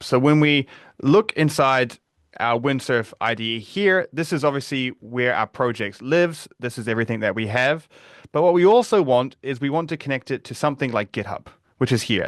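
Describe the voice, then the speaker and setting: A man with a New Zealand accent hosts a live online webinar, talking in a relaxed, conversational way and often pausing on fillers and restarting his sentences. His voice sits at an ordinary middle pitch. The recording is dry and close, with no room sound. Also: dry recording. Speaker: webinar presenter, security advocate.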